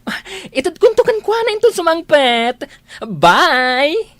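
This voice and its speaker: A woman playing an excitable, playful younger sibling in a radio drama, gushing and exclaiming. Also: sits high, takes it slowly, no echo, exaggerated voice. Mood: gushing, delighted. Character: giggly, excitable, gift-loving younger sibling.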